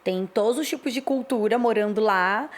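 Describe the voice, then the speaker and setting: A woman's voice: on the high side, moderate pace. Candid Brazilian woman in her thirties. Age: 30s. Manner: candid, reflective, talks in long stretches. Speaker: co-host. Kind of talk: casual chat.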